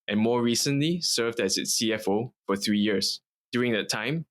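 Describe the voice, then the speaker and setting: A man's voice: medium pitch, fairly bright tone. A man hosting an interview podcast in an office, opening with an upbeat, scripted introduction and asking questions. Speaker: podcast host. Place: office in Singapore.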